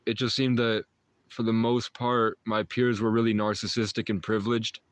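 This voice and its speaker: A man's voice: fairly low pitch, little pitch movement, moderate pace, dry recording. Rambling young man on a livestream. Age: 20s. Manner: meandering, mystical talk with self-interrupting restarts and chuckles. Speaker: livestream host. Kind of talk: rambling livestream chat with viewers.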